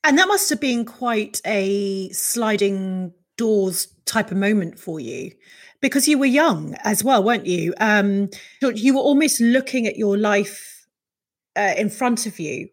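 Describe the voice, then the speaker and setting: A woman hosting a podcast, interviewing a guest with encouraging questions and casual fillers. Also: medium pitch. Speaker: podcast host.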